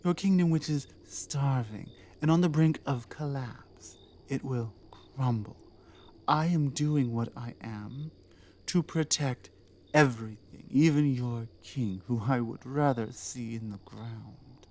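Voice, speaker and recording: A woman plays an imperious orc queen in an intimate ASMR audio drama. She speaks slowly and deliberately in a dark, mellow tone, ruthless and distrustful yet seductive, leaving weighty pauses between phrases. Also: rumbling low, voice pushed down, exaggerated voice. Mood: grim, menacing.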